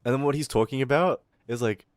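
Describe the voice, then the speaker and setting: A man's voice: mid-range voice, fast talker, no room sound. A young man with an Australian accent that slips toward American, ranting casually on a stream, swearing and mocking people in put-on voices. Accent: Australian with some American.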